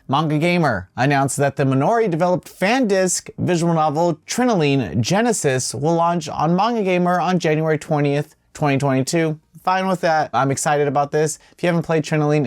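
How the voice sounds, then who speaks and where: Male host for gaming news, casual and wry, medium pitch, close dry recording. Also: darkish tone, dry recording. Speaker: host of a video game news show.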